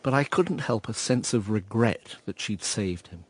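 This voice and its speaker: A man's voice: on the low side, animated, a little slow, breathy edge, no room sound. An actor playing a wry, reflective man narrating his memories in a radio drama. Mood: rueful. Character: wry, reflective man recalling past events. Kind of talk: scripted first-person radio drama narration.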